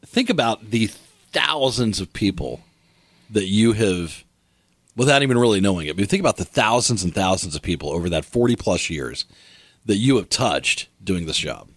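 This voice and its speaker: A man's voice: fairly low pitch, lively intonation, no echo. Earnest man in his late forties. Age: 40s. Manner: earnest, encouraging, halting restarts. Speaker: co-host. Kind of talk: casual chat.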